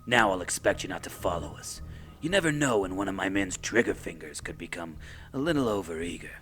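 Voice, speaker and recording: A man's voice: low voice, animated, brisk, fairly bright tone. A man playing a smug, menacing treasure-hunting villain in a radio drama, taunting and gloating in theatrical fashion. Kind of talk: adventure radio drama acting. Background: music.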